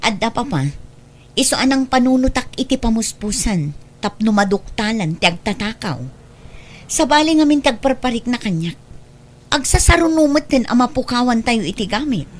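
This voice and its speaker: A caring grown daughter and practical mistress of the house, as a woman acts her in a radio drama. Her voice is fairly high and she speaks as someone in charge. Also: expressive, moderate pace. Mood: caring, concerned.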